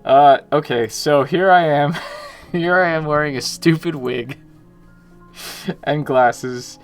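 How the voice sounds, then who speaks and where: A man's voice: a little high, slow, faint music behind. A young man chatting casually on his livestream from a small room at home, self-mocking and greeting viewers by name. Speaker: indie game developer streaming.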